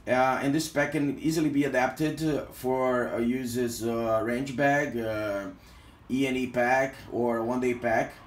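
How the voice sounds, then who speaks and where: A man's voice: mid-range voice, steady tone, unhurried. A man from Brazil with a Brazilian accent, reviewing gear casually and hesitantly, with lots of fillers. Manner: hesitant, heavy use of fillers. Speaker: product reviewer. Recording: echoey room sound.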